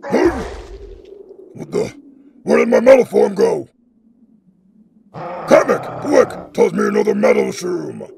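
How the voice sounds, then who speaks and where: A man voicing a huge, bullying turtle-dragon king in a cartoon fan dub, with a gravelly growl and blustering, menacing delivery. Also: high voice, huge pitch swings, takes it slowly, pauses often, a little mellow, a little hiss or hum, full character voice. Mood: furious, baffled.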